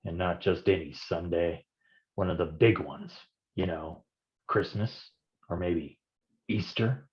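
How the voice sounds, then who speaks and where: An actor playing a hard-boiled male private detective in a noir staged reading, gruff and wisecracking in old-time gumshoe slang. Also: low-pitched, slow pace, pauses often, dull audio. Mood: brooding.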